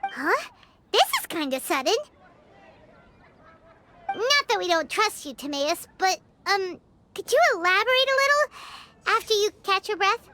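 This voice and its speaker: A woman voicing a small, childlike fairy companion in a video game, squeaky, curious and blunt. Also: big pitch swings, a little slow, pauses often, noisy background, full character voice. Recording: loud music behind the voice. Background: game music.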